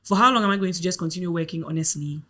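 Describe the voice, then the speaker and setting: A woman's voice: lowish voice, rapid-fire, sharp tone. A woman from Ghana, co-hosting a podcast and explaining her views earnestly. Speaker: podcast co-host. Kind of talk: casual podcast chat.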